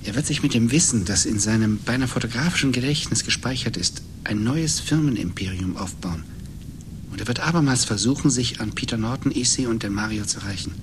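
A man playing a thoughtful, calmly defiant writer in a German radio play, with a reflective, measured manner. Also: mid-range voice, noisy background, close to an everyday voice. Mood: grave realisation.

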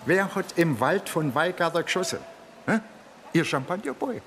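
An actor playing a blunt, grumbling police detective in a radio drama. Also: male voice, a little high, expressive, moderate pace, a bit bright, slight background noise. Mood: probing, blunt. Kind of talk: colloquial radio drama acting. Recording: music behind the voice.

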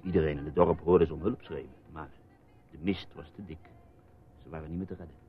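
A man's voice: extremely low pitch, fairly even intonation, a little fast, slight background noise. A man acting in a radio drama as a reporter husband, evasive and teasing, with a wry, placating manner. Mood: teasing, storytelling. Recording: dull, muffled radio play recording.

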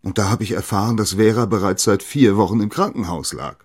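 An actor playing a bitter, defensive bereaved husband in a radio drama, with a husky voice and a curt, strained manner. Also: male voice, fairly low pitch, a little fast. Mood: guarded.

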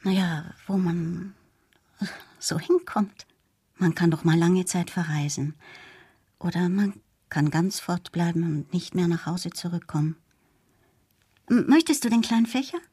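A melancholy, withdrawn middle-aged mother in a radio drama. Her voice is lowish, very mellow and breathy. She speaks softly and wistfully, hesitating, in a dry close recording. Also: a little slow, pauses often, no echo. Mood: fragile, melancholy. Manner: soft, wistful, hesitant, near whispering at times.

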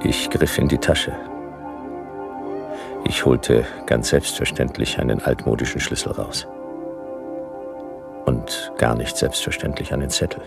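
An actor playing a laconic, world-weary, befuddled private detective, narrating a hard-boiled radio drama in clipped, deadpan sentences. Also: male voice, very deep voice, unhurried, gravelly, long pauses, exaggerated voice. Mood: groggy, deadpan. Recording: music behind the voice.

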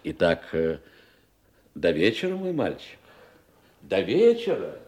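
Paternal older gentleman, radio drama, very deep dark voice, slow, raspy, confiding. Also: huge pitch swings, full character voice. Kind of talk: Russian radio play acting.

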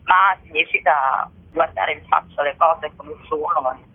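A woman speaking as a guest in a radio interview, explaining her work in a reflective, halting way with frequent rephrasing. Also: sits low, breathy edge, dry recording. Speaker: playwright and actress, interview guest. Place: remote phone call to a radio show. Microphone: telephone. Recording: tinny, band-limited telephone line.

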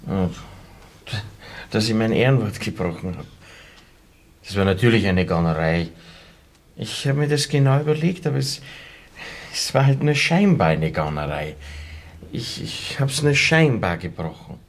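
An actor playing a weak-willed young comrade who speaks hesitantly and apologetically, pleading excuses in a radio drama. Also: male voice, fairly low pitch, animated, measured pace, long pauses. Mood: contrite. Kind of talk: naturalistic radio drama acting. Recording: faint background noise.

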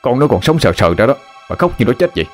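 A man's voice: mid-range voice, very animated, fast, faint music behind, pitched above the performer's own voice, natural voice. A man voicing a tired, irritable middle-aged father who speaks curtly. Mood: irritated. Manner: curt and snapping.

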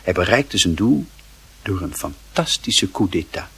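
A man with a low, very husky voice narrates a radio story. He is wry and theatrical, with lively swings in pitch. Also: unhurried.

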